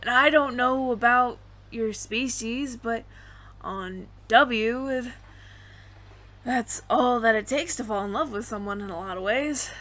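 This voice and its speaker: A woman's voice: on the high side, pushed and bright. A woman playing a flustered, smitten alien roommate who stammers nervously and earnestly in an intimate audio roleplay. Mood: shy, smitten. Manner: nervous stammering, halting, repeats words.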